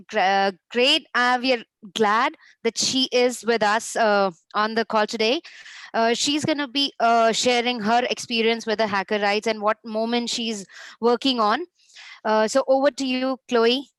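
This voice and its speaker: A woman's voice: a little high, measured pace, fairly bright tone, clean tone, no room sound, low-quality recording. A woman hosting a webinar who introduces the next speaker hesitantly, with many fillers. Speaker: webinar host.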